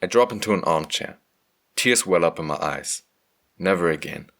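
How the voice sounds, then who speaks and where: A man reading a scripted first-person story as a motion comic narrator, measured and earnest. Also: sits low, animated, gravelly, fairly bright tone.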